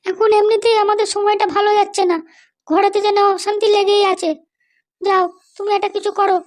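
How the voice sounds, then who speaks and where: Woman acting cartoon mother, squeaky-high pitch, doting, fretful, bossy, nagging insistence. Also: level tone, a little fast. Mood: fretful, insistent. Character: doting, anxious, bossy mother of a grown son. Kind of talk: cartoon dialogue.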